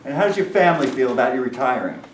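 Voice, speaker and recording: A man's voice: medium pitch, expressive, racing, close to an everyday voice. A man playing an inquisitive sports reporter with short, pointed questions, in a staged reading recorded from far off in an echoey, slightly noisy room. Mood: probing. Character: inquisitive, needling sports reporter at a press conference. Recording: distant echoey room, faint noise.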